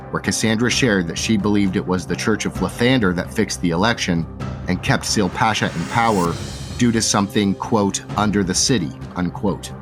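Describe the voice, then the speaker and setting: A man with a fairly low voice hosts a tabletop roleplay show as its dungeon master, moving between a bright announcer-style welcome and a steady story recap. Music plays clearly beneath him. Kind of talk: podcast intro and recap narration.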